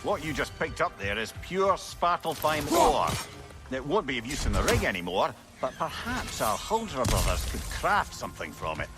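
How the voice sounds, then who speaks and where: A man's voice: a little high, very animated, moderate pace, music in the background. An actor playing a wise, remorseful older man with a Scottish accent, an eloquent talking-head companion in a video game. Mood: helpful, informative. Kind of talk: dramatic video game dialogue.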